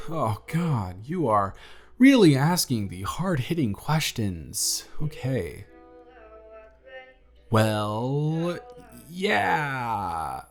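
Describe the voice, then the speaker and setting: A man playing an earnest young single dad in his twenties, talking softly and a little flustered in an audio roleplay. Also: mid-range voice, heavily acted. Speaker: father confessing feelings to the babysitter.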